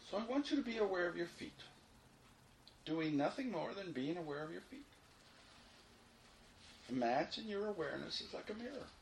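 A man leading a guided meditation, giving unhurried, repeated instructions with long pauses between them. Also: high voice, animated, creaky. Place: informal indoor room. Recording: roomy echo, faint background noise.

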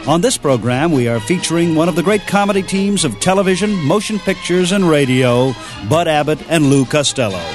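Man, radio host, scripted introduction, slightly high voice, music playing behind. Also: animated, fairly quick. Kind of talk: scripted narration introducing old-time radio shows.

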